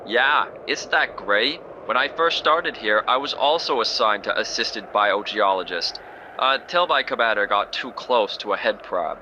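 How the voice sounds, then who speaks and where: A man's voice: on the low side, moderate pace, lo-fi sound, background noise, close to an everyday voice. A man playing a chipper odd biologist. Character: cheerful odd male biologist, oblivious to danger.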